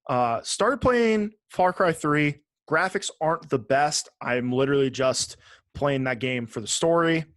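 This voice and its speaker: A man's voice: on the high side, animated, measured pace, dry recording, studio quality. A man co-hosting a podcast, chatting casually about video games with wry mock-formal phrasing and some swearing. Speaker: podcast co-host.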